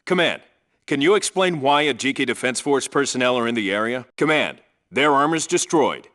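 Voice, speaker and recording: A man's voice: mid-range voice, expressive, moderate pace, fairly bright tone, lightly acted. A man playing a no-nonsense special forces team leader in a video game, reporting in a clipped, businesslike, commanding way. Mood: focused, businesslike. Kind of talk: scripted radio call-outs, video game military acting.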